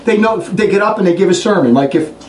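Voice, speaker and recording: High-voiced guy preaching fast and blunt, mic far off in an echoey room. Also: lively intonation, darkish tone. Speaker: religious preacher. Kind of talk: impassioned religious talk to a group. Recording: echoey room, distant mic, faint noise.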